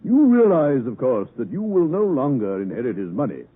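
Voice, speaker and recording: An actor playing a dry, shrewd lawyer in a 1950s radio drama, speaking formally and bluntly. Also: male voice, mid-range voice, sing-song, brisk, breathy edge. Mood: blunt, sceptical. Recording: muffled 1950s radio broadcast.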